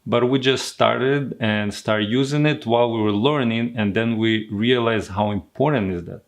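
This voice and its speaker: A man's voice: medium pitch, normal speed. A young man from Ukraine with a Ukrainian accent, explaining things casually as a co-host. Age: young adult. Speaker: co-host, money management coach.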